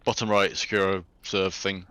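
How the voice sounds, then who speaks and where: A man giving quiet, brief replies in a casual chat with friends during an online game. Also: low-pitched, flat delivery, unhurried, no echo.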